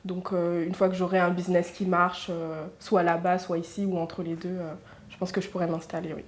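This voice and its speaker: A woman's voice: lowish voice, flat delivery, a little fast, a little hiss or hum. A woman in her twenties, a recent business graduate, speaking thoughtfully and with some hesitation in a documentary interview. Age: 20s.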